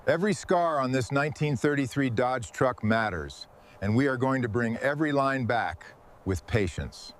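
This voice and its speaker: A man's voice: mid-range voice, lively intonation, normal speed. A man giving hands-on workshop commentary as a vehicle restorer, in short instructional remarks to helpers.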